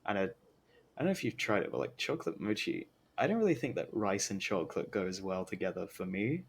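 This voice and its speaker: A man's voice: sits low, animated, fast, husky. A man doing casual live gameplay commentary as a streamer, rambling and informal with slangy phrasing. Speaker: game streamer.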